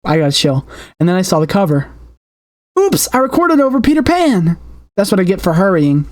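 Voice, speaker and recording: Lower-voiced woman narrating a horror game. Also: darkish tone. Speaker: gaming video host. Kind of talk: dramatic read-aloud narration of a horror game with asides. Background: game soundtrack music.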